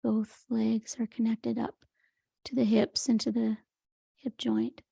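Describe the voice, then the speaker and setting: Woman meditation teacher: highish, very creaky voice, soft and breathy, gently soothing and unhurried. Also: level tone, dry recording. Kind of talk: guided meditation, Yoga Nidra.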